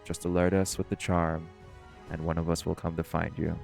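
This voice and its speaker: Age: adult. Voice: very deep, gravelly male actor as stern shifter. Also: little pitch movement, normal speed, over music. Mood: serious, cautioning. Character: stern, protective male marine shifter, bossy diving leader. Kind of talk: scripted audio roleplay acting.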